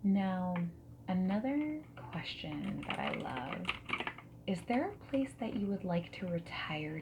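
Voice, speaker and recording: A woman playing a friendly, non-judgmental dating service matchmaker in a gentle, soft-spoken and whispered ASMR roleplay. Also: mid-range voice, heavy background noise. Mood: friendly, curious. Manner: gentle, reassuring, asks questions and pauses for answers. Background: mechanical keyboard typing and clicks.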